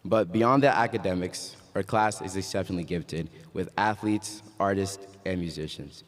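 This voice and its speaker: Young man from Ghana, very deep voice, long hall reverb, joking then earnest graduation speech. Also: moderate pace. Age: young adult. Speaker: graduation speaker. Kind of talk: commencement speech. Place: large hall.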